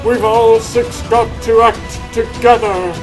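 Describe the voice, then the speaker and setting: A man's voice: extremely high pitch, flat delivery, slow, over music, poor audio. A man voicing an earnest, declamatory rabbit superhero who leads his team in a comic dub. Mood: determined. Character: plucky earnest cartoon rabbit superhero leader.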